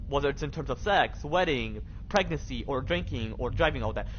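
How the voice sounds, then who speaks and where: A man's voice: medium pitch, lively intonation, a little fast. A young American man chatting casually on a game livestream in a rambling, repetitive, slangy way. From Michigan, United States. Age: young adult. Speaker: live streamer. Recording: dull lo-fi mic with faint noise.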